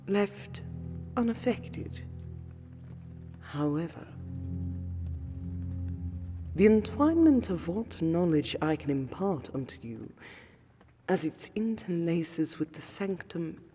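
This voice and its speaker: A woman acts out an aristocratic vampire scholar for a fantasy roleplay audio. Her delivery is slow, courteous and solemn, in a dark, mellow timbre. Long hall reverb surrounds the voice, with music playing behind it. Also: on the low side, big pitch swings, full character voice. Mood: solemn, formal. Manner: formal, deliberate, measured phrasing with weighty pauses.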